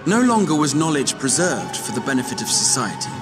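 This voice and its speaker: An actor playing a scholarly young male investigator, formal and deliberate, in video game dialogue. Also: medium pitch, big pitch swings, over music, close to an everyday voice. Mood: measured, probing. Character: scholarly, measured young male catfolk investigator addressing a council.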